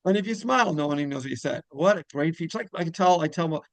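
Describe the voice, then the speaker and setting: A man's voice: a little high, expressive, very fast, dry recording. A man hosting a casual interview, rambling as he repeats and rephrases his questions. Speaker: interviewer, show host.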